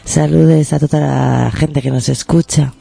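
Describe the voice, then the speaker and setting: A man's voice: on the high side, fairly even intonation, unhurried, vocal fry, dark tone, breathy edge, no room sound. A middle-aged man co-hosting a community radio show, chatting in a reflective, rambling and self-mocking way. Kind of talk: casual radio chat. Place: radio studio.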